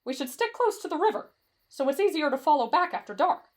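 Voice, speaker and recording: A woman's voice: sits high, very animated, fast. A woman voicing a timid, lovestruck teenage boy in an anime-style roleplay drama, with a dramatic, whiny delivery that swings between fretful and gushing. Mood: chatty, cautious. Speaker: voice actor in roleplay audio.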